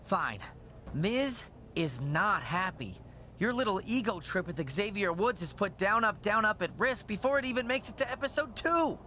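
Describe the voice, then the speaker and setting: A man's voice: sits high, expressive, moderate pace, bright, forceful tone, a little hiss or hum. An actor playing an officious, pompous male assistant in a video game, scolding on the boss's behalf. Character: officious, nagging assistant of a show boss. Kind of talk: video game cutscene dialogue.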